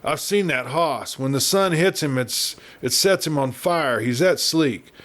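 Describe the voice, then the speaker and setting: Man playing timid, hushed cowboy sidekick. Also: a little high, a little slow, voice pushed up. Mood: pleading. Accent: rustic American Western. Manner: hushed, sighing, whispering, apologetic. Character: nervous, sighing cowboy sidekick, timid and pleading. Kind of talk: Western audiobook character reading.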